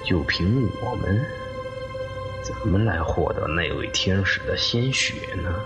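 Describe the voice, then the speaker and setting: A man voicing a scheming male henchman of a death god, slow and conspiratorial, in an audio drama. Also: sits low, lively intonation, lo-fi sound, over loud music. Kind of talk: Chinese audio drama acting.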